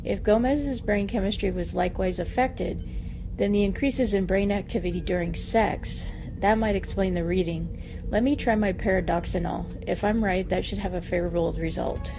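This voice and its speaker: A woman playing a brisk, authoritative starship doctor in a science fiction audio drama, clipped and clinical in manner. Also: medium pitch, vocal fry, background noise, lightly acted. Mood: calm, explaining. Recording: music behind the dialogue.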